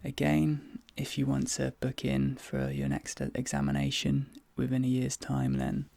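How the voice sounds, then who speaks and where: A man speaking softly and breathily in an ASMR dentist role play, hesitant and often slipping into whispers. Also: mid-range voice, dry recording. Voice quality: breathy, soft. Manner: hesitant, halting, drifting into whispers.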